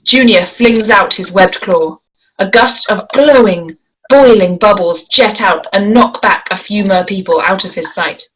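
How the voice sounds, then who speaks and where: Woman narrating a screenplay, medium pitch. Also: measured pace, rough recording. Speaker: narrator reading stage directions. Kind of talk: scripted narration.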